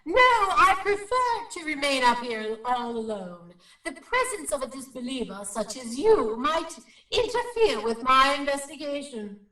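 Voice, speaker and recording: Radio play casting: solemn lady ghost investigator, formal and precise, bright animated delivery, slightly off-mic in a roomy space. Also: high voice, measured pace, full character voice. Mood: haughty. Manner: formal, precise, dramatic reporting. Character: solemn, self-important female psychic investigator. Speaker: actor playing a paranormal investigator. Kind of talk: radio drama acting. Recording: roomy, slightly distant microphone.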